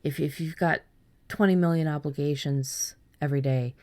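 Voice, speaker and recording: A woman hosting a podcast in loose, casual conversation. Her voice sits very low for a woman, and she talks bluntly, cursing freely and cracking jokes. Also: normal speed, warm tone. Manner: blunt, swears freely, jokey. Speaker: podcast host.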